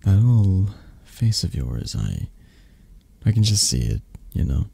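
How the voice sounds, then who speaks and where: A man playing a possessive, tender mafia boss lover in intimate roleplay ASMR, murmuring softly and hesitantly with a breathy, gravelly voice. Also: low-pitched, sing-song, unhurried, no echo, exaggerated voice. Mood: tender, loving.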